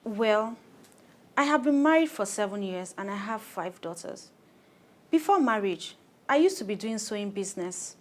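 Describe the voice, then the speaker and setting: A woman's voice: mid-range voice, animated, moderate pace, close to an everyday voice. A woman with a Nigerian accent playing a harried but determined thirty-year-old mother in a radio drama, earnest and plain-spoken. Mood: frank, matter-of-fact. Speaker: clinic client in an educational radio play.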